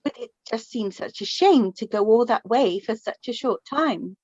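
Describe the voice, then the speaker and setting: A woman playing a middle-aged mother of the bride in a radio play, fond, gently prying and rambling. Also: a little high, animated, moderate pace, husky. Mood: chatty, fond. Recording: echoey room, dull lo-fi sound.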